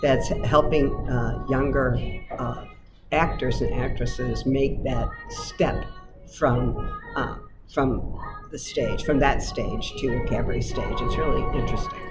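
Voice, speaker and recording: Low-voiced woman chatting over loud music. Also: steady tone, unhurried, poor audio. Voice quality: lower voice. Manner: chatty, self-deprecating, laughs often. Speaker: cabaret singer, guest. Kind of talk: casual radio interview. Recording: music playing loudly underneath.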